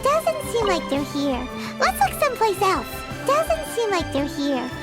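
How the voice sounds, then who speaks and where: A tiny floating companion, childlike and excitable, chirps every line in a video game. The voice sits extremely high and leaps all over the place. Bright game music plays loudly beneath. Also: female voice, measured pace, exaggerated voice. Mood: chipper. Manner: bubbly, exclaiming, wide swoops of pitch.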